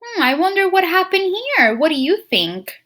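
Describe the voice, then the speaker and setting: A woman teacher reading a picture book aloud to young children, expressively, pausing to muse and put questions straight to her listeners. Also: extremely high pitch. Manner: expressive, addresses children directly with frequent questions and musing hums.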